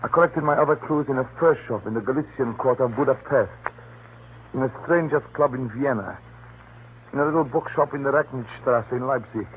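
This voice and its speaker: A man's voice: medium pitch, fairly quick, natural voice. A man playing a fearful, hunted little informant, secretive and nervously insistent, in a 1930s radio drama. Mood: secretive, earnest. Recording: muffled, noisy 1930s radio recording. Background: faint hiss and noise.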